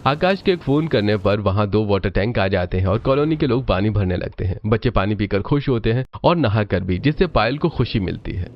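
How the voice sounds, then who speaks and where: A man's voice: lowish voice, expressive, fairly quick, few pauses, dull audio. A man narrating a scripted story.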